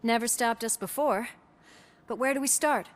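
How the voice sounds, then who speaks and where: An actress playing a tough, loyal police officer in a video game, brisk and no-nonsense. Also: female voice, on the high side, close to an everyday voice. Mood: determined. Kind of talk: video game cutscene acting.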